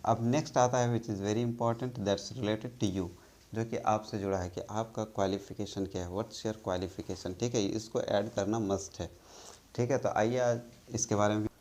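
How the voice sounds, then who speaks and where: A man from India teaching spoken English in a slow, patient way, often checking that the learners follow. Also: on the low side, steady tone, darkish tone.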